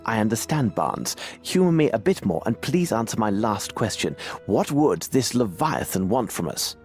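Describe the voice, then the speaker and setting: An actor playing a grave, commanding gentleman detective in a video game, speaking with measured, intent authority. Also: fairly low pitch, big pitch swings, soft background music.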